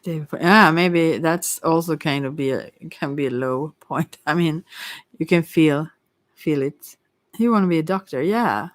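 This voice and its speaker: Someone doing an asmr tarot reading, kinda rambly and halting, mid pitch, really dry sound. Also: no echo. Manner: hesitant, rambling delivery with many pauses. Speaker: tarot reader; Ayurveda therapist.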